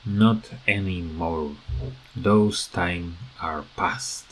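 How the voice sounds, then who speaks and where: A man giving unscripted, rambling commentary as a gaming video creator, halting often as he searches for words. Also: deep voice, fairly bright tone, slight background noise, low-quality recording.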